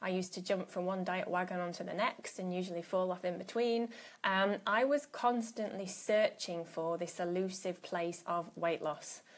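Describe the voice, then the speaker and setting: A woman's voice: fairly low pitch, level tone, normal speed. A woman in her thirties, a coach talking casually on a live stream and greeting viewers by name as they arrive. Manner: chatty, greets viewers by name, restarts phrases. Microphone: phone or laptop live stream.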